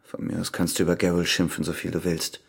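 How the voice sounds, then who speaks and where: Radio drama role: suspicious husband out of prison, gravelly and husky, bitterly sardonic. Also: male voice, deep voice, little pitch movement, fast, exaggerated voice. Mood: sardonic, accusing. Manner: accusing, sardonic.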